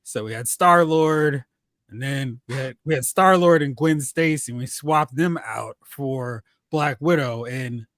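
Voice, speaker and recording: A man's voice: medium pitch, measured pace, fairly bright tone, no echo, clean studio sound. A man hosting a movie talk show, chatting casually and jokingly about films and recapping news.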